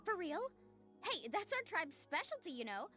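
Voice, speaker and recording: A cheerful, self-assured teenage game heroine, with a bright and very animated delivery. She sounds breezy and casual, eager and friendly, over faint music. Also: extremely high pitch, moderate pace, full character voice. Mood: excited, confident. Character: upbeat, confident, friendly teenage girl, water sports shop owner. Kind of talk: game character voicelines.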